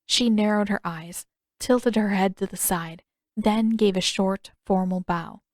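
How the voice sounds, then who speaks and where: A woman's voice: medium pitch, measured pace, a little mellow, dry recording. Female fiction narrator, medium register, close dry studio-like sound, scripted storytelling read. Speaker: audiobook narrator.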